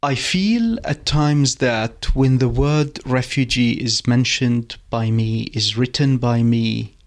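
Slow, halting man with a gravelly voice. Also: medium pitch. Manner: halting, repeats words, searches for phrasing. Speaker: poet. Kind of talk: reflective interview answers.

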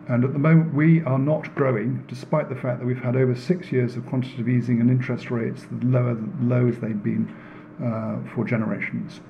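A man giving a public lecture in an earnest, deliberate way, repeating phrases for emphasis. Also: medium pitch, gravelly, highs cut off, slight background noise. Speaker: speaker giving a talk, works in renewable energy. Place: indoor room in front of an audience.

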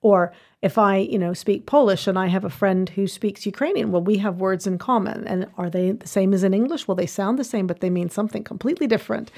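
A woman's voice: medium pitch, a little fast, few pauses, darkish tone. A woman being interviewed, laying out her points in order in an earnest, explanatory way. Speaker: interview guest, language education expert. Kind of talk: measured conversational explanation.

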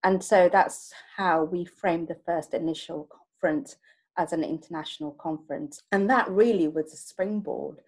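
Low-voiced woman, chatty and hesitant. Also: moderate pace, a little mellow, poor audio. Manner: hesitant, many fillers, laughs often. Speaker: project co-lead. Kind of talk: casual podcast conversation.

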